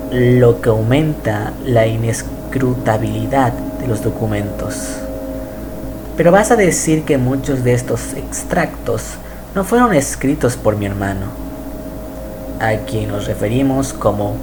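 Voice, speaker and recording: A man reading a scripted horror narration in a measured, even way. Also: medium pitch, background noise. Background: music.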